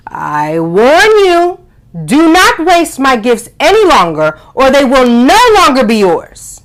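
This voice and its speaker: Woman voicing a booming, angry sky spirit. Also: very animated, full character voice, rough recording. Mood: stern warning. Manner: slow, forceful, solemn delivery.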